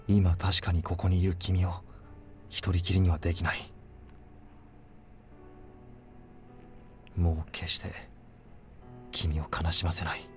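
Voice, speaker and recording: A man's voice: low voice, little pitch movement, fast talker, close to an everyday voice. An actor playing an earnest, determined young man, solemn and devoted, in a Japanese visual novel. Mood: tender, resolute. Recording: background music behind the voice.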